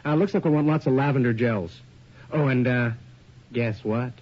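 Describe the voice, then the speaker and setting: An actor playing a brisk, bantering male studio boss in a radio drama, casual and teasing. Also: mid-range voice, natural voice. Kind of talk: 1980s radio drama acting. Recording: dull, lo-fi radio recording.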